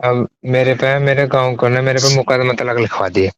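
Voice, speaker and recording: A man's voice: medium pitch, fairly even intonation, moderate pace, smooth. A man with a western Uttar Pradesh accent on a recorded phone call, speaking informally in short, agreeable replies. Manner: agreeable, brief affirming replies.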